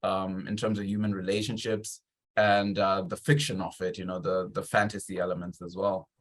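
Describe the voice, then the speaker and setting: A man from Zimbabwe hosting a conversational interview, effusive and a little halting as he builds his questions. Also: low-pitched, level tone.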